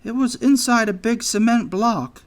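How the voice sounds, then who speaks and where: Man voicing a nervous, high-pitched male anteater. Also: a little slow. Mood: worried, sheepish. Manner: hesitant, anxious, worried. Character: nervous, fretting male anteater friend in children's fantasy.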